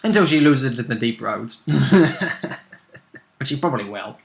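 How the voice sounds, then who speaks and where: A man's voice: mid-range voice, expressive, normal speed, gravelly. A man giving casual, chatty commentary as the second commentator on a show.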